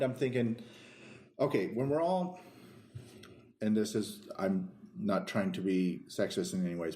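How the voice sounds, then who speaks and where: A man co-hosting a podcast in casual conversation, earnest and halting, often correcting himself as he explains. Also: medium pitch, warm tone. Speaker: podcast co-host. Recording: echoey room.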